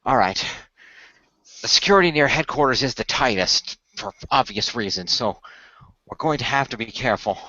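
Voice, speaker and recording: Male game master, forceful and explanatory, improvising scenes. Close, dry sound. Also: mid-range voice, lively intonation, normal speed, no room sound, dull audio. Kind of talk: improvised storytelling and in-character talk for an audio role-play.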